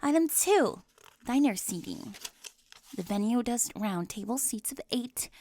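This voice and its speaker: A woman's voice: fairly high pitch, lively intonation, unhurried, dark tone, slight background noise. A woman playing a prim, hyper-organized popular teen girl in an ASMR roleplay, fussy, officious and over-precise. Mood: officious, insistent. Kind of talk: scripted ASMR audio roleplay.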